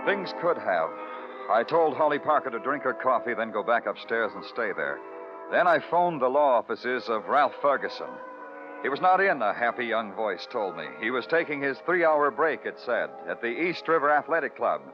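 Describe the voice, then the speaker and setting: A man plays a world-weary big-city police detective from a golden-age radio crime show. He is clipped, sardonic and deadpan, with a medium pitch. The recording is dull and old, with music in it. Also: normal speed, lightly acted. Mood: somber, probing, then wry narrating. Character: terse, dogged, world-weary male New York police detective. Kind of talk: 1950s radio crime drama acting and first-person narration.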